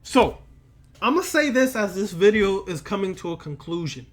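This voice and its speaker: A man's voice: high voice, sing-song, normal speed. A man lecturing in an emphatic, preachy manner, speaking straight to the listener and repeating words and phrases for weight. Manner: preachy, admonishing, addresses listener directly. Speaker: occult lecturer and teacher. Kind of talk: impromptu sermon-like lecture to camera.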